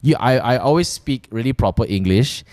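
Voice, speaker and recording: Lively young Malaysian man, fast talk. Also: medium pitch, no echo, clean studio sound. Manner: animated, jokey, slips into mock accents. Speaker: podcast host. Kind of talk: casual chat. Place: podcast studio. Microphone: studio mic on boom arm, headphones.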